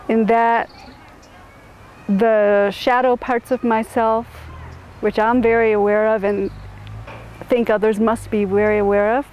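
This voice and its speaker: A middle-aged woman from suburban Chicago, answering interview questions in a thoughtful, halting way with many restarts. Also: on the high side, a little mellow, a little hiss or hum. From suburban Chicago, USA.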